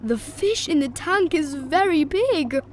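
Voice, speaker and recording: Woman as storybook father pig: jovial, easily amazed, unhurried, big swings in intonation. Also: high voice, animated, slight background noise, pitched above the performer's own voice. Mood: startled. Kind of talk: children's storybook character voice.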